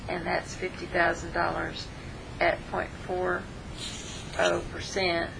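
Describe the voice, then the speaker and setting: A woman delivers a treasurer's report in a plain, matter-of-fact way, reading off figures one after another. Her voice is lowish and heavily gravelly, with scratchy fry on many words. Steady noise sits behind her. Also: slow. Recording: noticeable background noise.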